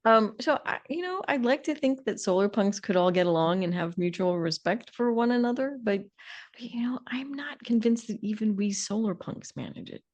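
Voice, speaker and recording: A woman's voice: mid-range voice, animated, normal speed. A woman co-hosting a conversational podcast, wry and questioning as she talks ideas over. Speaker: podcast co-host.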